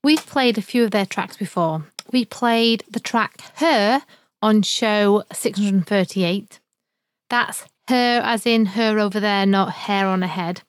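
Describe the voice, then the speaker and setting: A woman co-hosts a music podcast and casually announces the tracks just played. She talks in a friendly way with a touch of self-deprecating humor. Her voice sits at an ordinary pitch and sounds dry and close. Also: slow pace, dry recording. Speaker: podcast co-host.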